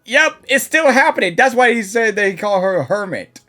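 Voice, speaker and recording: Woman, game streamer, casual reaction commentary, lively varied intonation, faint music behind. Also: a little high, normal speed.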